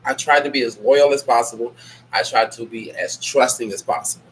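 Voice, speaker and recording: A man's voice: medium pitch, brisk, fairly bright tone. A man hosting a casual live Q&A, sick with a stuffy nose and scratchy throat, talking in a rambling, blunt way. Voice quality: nasal. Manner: rambling, candid and blunt, reads out chat names. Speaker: livestream host.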